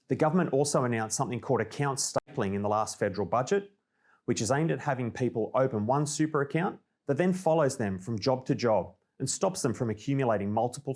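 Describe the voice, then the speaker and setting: A man's voice: mid-range voice, a little mellow. Australian man presenting scripted business information. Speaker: presenter of a company newsreel.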